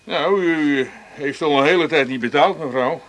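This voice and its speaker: An actor in a Dutch radio play playing a stubborn, patronising utility official with a dry, insistent manner. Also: male voice, on the high side, moderate pace, a bit bright, natural voice. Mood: businesslike.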